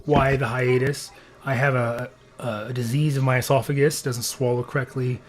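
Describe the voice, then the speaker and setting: A man with a raspy voice after recent throat surgery, hosting a casual live podcast and rambling through his thoughts with many fillers. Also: medium pitch, fairly even intonation, moderate pace, slight background noise. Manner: rambling, thinking aloud with fillers. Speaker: podcast host. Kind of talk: casual livestream chat.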